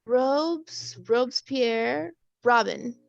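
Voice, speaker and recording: A woman's voice: on the high side, very slow, vocal fry, no echo, full character voice. A woman playing a breezy young bookstore clerk in a table read, with an offhand, quirky manner. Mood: absorbed, chirpy. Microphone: video call. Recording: dull, dry video-call audio.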